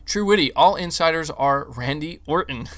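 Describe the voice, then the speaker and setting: A young man hosting a gaming podcast, ranting in casual talk with some swearing, his voice starting to go hoarse. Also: a little high, expressive, moderate pace, a bit bright. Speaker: podcast host.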